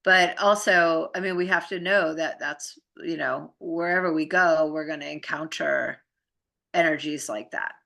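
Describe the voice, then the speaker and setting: Low, creaky-voiced woman chatting. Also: a little slow, pushed and bright. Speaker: spiritual practitioner in conversation. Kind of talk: casual chat, personal storytelling.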